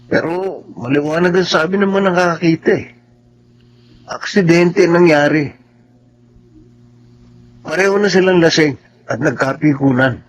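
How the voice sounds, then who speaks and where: A man playing a grieving but forgiving young man in a Filipino radio drama, somber, sincere and measured. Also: medium pitch, lightly acted.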